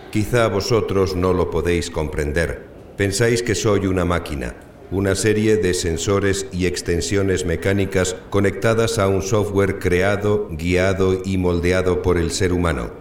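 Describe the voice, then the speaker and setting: Echoey, very low-voiced spaceship AI for audio drama; calm, eloquent, measured and courteous. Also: male voice. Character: calm, eloquent male artificial intelligence aboard a spaceship. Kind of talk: scripted audio drama press conference. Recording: echoey room with faint noise.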